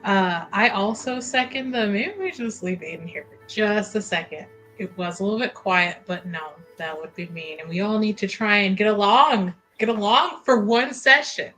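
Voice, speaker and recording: Playful woman with a mid-pitched voice. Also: normal speed, few pauses, fairly bright tone, faint music behind, low-quality recording. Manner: playful, joking. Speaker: tabletop game player. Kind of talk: casual banter and introduction.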